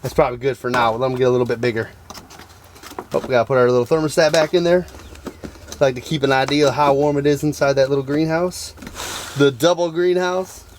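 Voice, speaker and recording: A man with a raspy voice from being sick, chatting upbeat to viewers while walking through a small backyard greenhouse. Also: mid-range voice, steady tone, fast, a little hiss or hum. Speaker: urban homestead vlogger. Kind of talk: casual walkthrough vlog.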